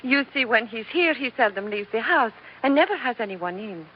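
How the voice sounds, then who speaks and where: A woman's voice: a little high, expressive, normal speed, lightly acted. An actress playing a romantic, lonely young woman with a coy, eager manner in 1940s radio drama style. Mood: coy, warm. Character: romantic, lonely, modest young plantation heiress. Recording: muffled, noisy old radio broadcast.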